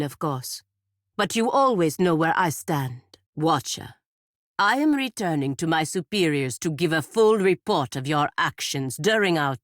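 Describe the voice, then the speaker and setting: Low-voiced, proud warrior woman. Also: dry recording, clean studio sound. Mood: formal, earnest, then cold anger. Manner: formal, blunt, haughty and disdainful. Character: proud, stern godlike female warrior serving her republic. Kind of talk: scripted video game character dialogue.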